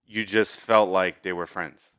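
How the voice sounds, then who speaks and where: A man's voice: deep voice, fairly even intonation, a little slow, sharp tone, smooth. A man co-hosting a movie review, speaking casually with another host. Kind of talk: casual chat, film review.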